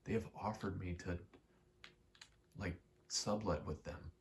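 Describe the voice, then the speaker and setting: Very deep, creaky-voiced young man rambling casually. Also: steady tone, slow pace, a little hiss or hum. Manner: self-deprecating, profane. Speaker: ASMR video creator. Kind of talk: rambling casual ASMR talk.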